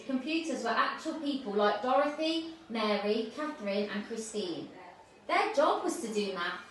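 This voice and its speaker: A woman's voice: a little high, fairly bright tone. A woman reading a children's story aloud, recorded in an echoey room at a distance from the microphone. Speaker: school staff reader. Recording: very echoey room, distant mic, faint noise.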